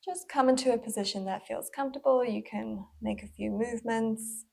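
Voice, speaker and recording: A woman leading a guided body scan as a mindful movement instructor, speaking gently and unhurriedly with pauses, in a breathy, slightly husky voice. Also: on the high side.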